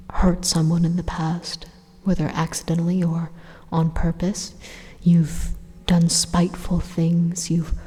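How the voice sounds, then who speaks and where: A woman voicing a calm, menacing supercomputer turned evil in an ASMR roleplay monologue, deliberate and condescending, with a breathy, whispery voice. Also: fairly low pitch. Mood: condescending reproach. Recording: long reverberant echo.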